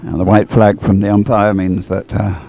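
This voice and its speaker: Low-voiced, mellow man commentating on sport. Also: little pitch movement, moderate pace, vocal fry, faint music behind. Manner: rambling, hesitant, thinking aloud. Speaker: race commentator. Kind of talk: live dragon boat race commentary.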